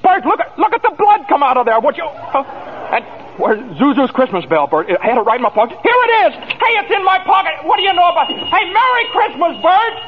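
Breathless, emotional family man for old radio drama; high-pitched, highly animated delivery, dull period sound. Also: a little fast. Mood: elated. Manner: stammering, breathless repetition. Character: desperate, then elated family man, excitable and big-hearted. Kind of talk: old-time radio theater acting. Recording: muffled vintage radio broadcast, crowd noise behind.

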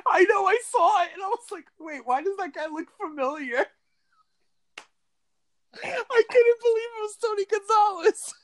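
A woman's voice: extremely high pitch, animated, moderate pace, no room sound. A woman casually recapping a movie on a podcast, laughing often and making sarcastic asides. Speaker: podcast co-host.

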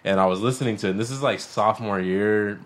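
A man in his twenties with a deep voice, co-hosting a podcast in slangy, laughing banter. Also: quick pace. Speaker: podcast co-host. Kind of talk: casual podcast chat.

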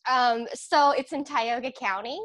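A chatty woman speaking over a video call, with a fairly high voice. Her sound is dry and close, with no room around it. She talks casually and loosely, full of "like"s. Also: unhurried, dry recording. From Philippines, raised in Pennsylvania. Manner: chatty, warm, many "like"s and laughs. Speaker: guest, aerial yoga instructor. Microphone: computer video call.

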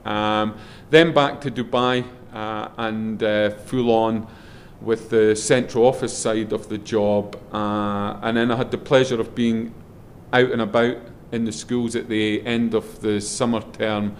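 A middle-aged man with a loud voice, a guest in a relaxed interview, rambling a little with frequent ums and restarts as he talks. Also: lowish voice, measured pace, few pauses, a little hiss or hum. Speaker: guest, head of a schools group.

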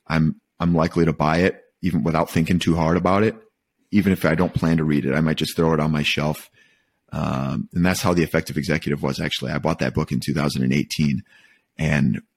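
A man from Michigan talking casually and reflectively as a podcast guest, feeling out his phrasing in a halting, thoughtful way. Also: extremely low pitch, breathy edge. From Michigan, United States. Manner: thoughtful, halting, rephrases as he goes. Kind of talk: casual reflective interview talk.

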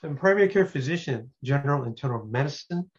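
Older male physician, husky voice, halting delivery full of fillers, dull lo-fi audio. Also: mid-range voice, animated. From San Francisco. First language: Toishanese/Cantonese. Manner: rambling, many filler pauses. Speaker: physician guest. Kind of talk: panel self-introduction.

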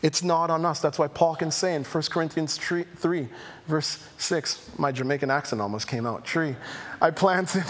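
Animated male preacher in a reverberant hall. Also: moderate pace, slight background noise. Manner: animated, rising to emphatic highs, playful asides. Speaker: pastor. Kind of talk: sermon.

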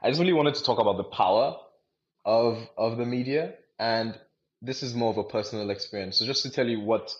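A man's voice: mid-range voice, fairly quick, husky. A young man from Nigeria talking casually as a podcast co-host, often repeating and rephrasing as he goes. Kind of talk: casual discussion. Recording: dull, lo-fi sound.

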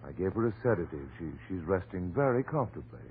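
Low-voiced, firm radio-drama doctor. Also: male voice, very animated, fast talker. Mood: firm, reassuring. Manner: firm, reasoning, soothing. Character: steady, authoritative male doctor calming a distraught friend. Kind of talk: 1940s American radio horror acting. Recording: muffled, faintly noisy old radio recording.

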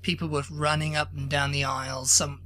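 A man's voice: a little high, normal speed, a little hiss or hum. A man with a Yorkshire accent, co-hosting a podcast in casual chat, joking and teasing as he tells stories. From England. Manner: joking, teasing, lively storytelling. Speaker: podcast co-host.

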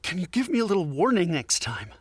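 A man's voice: high voice, sing-song, quick pace, slight background noise. A man playing an awkward, scatterbrained anthropologist in an audio drama, stammering and hesitant as he talks. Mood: uneasy, hesitant.

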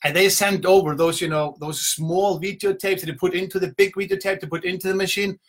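A middle-aged man with an Icelandic accent talks informally to an online audience as a business coach. His voice is bright and forceful, pitched slightly higher than most men's. He tells stories in a loose, conversational way. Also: steady tone, brisk. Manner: conversational, rambling storytelling with repeated "you know". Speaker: business coach giving a motivational talk. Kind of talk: informal motivational talk to a live online audience. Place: at home, indoors.

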